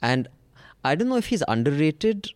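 A man with an Indian English accent, co-hosting a casual chat, making deadpan teasing jokes. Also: a little high, normal speed, smooth, no room sound, studio quality. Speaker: co-host.

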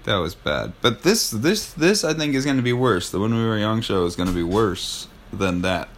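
A man's voice: fairly low pitch, animated, moderate pace. A man co-hosting a podcast in casual chat, with a sardonic, swearing, easily amused manner. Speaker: podcast co-host.

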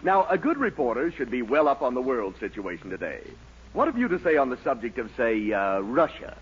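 An actor playing a dry, sarcastic, bemused newspaper editor with drawn-out hesitations in a 1940s radio comedy. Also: male voice, medium pitch, sing-song, breathy edge. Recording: very noisy muffled radio recording, studio audience.

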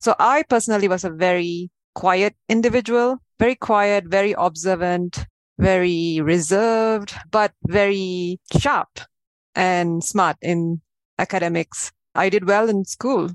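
A woman in her thirties from Malaysia, a podcast guest explaining her work in a measured way. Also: lowish voice, dry recording. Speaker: guest, AI advisor. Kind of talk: interview answers.

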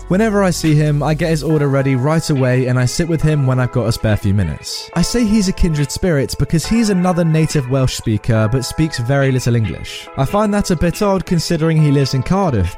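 A man reading an online story aloud, narrating it expressively. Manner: expressive reading, swings in pitch.

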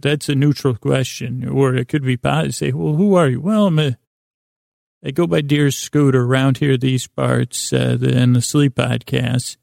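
Male sleep podcast host: heavy vocal fry, flat intonation, halting soothing rambles full of word stumbles. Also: medium pitch, no echo, studio quality. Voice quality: creaky. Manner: meandering, halting, stumbles over words. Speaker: podcast host and bedtime storyteller.

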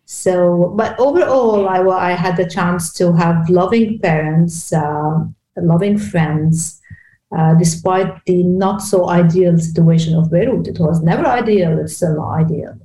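Female guest from Lebanon, mellow voice, echoey room, faint hiss, easy laughs and fillers. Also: lowish voice, measured pace. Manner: warm, laughs easily, hesitant fillers. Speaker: guest, executive coach. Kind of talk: casual interview conversation.

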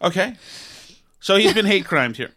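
High, bright-voiced sarcastic man. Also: lively intonation, moderate pace. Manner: sarcastic, argumentative, rambling. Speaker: podcast co-host. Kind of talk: casual banter.

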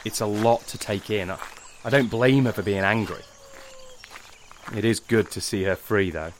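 An actor playing a middle-aged father in an audio drama, gentle, subdued and full of regret. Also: on the low side, normal speed, slight background noise, close to an everyday voice. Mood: subdued, regretful.

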